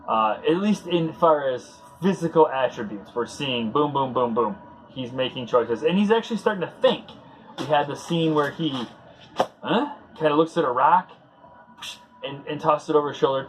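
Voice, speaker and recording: A man's voice: medium pitch, slight background noise, rough recording. A man hosting a comic book read-along, giving casual commentary in a rambling, conversational, fan-like way.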